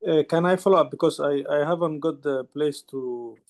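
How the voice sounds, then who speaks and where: A man, a participant in an online panel, who explains at length in an earnest, halting way with many restarts. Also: fairly high pitch, slight background noise. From Somalia. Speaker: conference participant asking a question.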